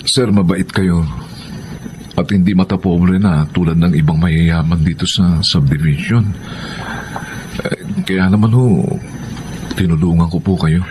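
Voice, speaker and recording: A man's voice: deep voice, a little slow, pauses often, noisy background. An actor playing a humble, kind male servant, polite and hesitant, in a radio drama. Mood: deferential, earnest. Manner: hesitant, polite, stammering when moved. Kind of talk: Filipino radio drama acting.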